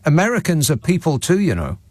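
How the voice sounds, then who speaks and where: Deadpan southern English man, very lively pitch. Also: fairly quick, a little hiss or hum. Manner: deadpan, mock-indignant. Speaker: radio phone-in host. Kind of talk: phone-in banter.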